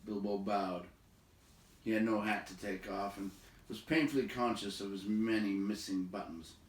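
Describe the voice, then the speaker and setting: A man's voice: on the low side, fairly even intonation, moderate pace. A man reading a storybook aloud as narrator. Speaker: audiobook reader. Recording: echoey room, slightly far from mic.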